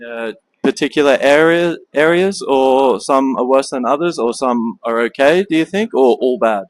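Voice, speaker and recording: A man working as a street interviewer, voice sitting mid-pitch and sounding dry and close. He asks short questions and repeats them, with the odd "uh" as he goes. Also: moderate pace, dry recording. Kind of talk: street vox-pop interview.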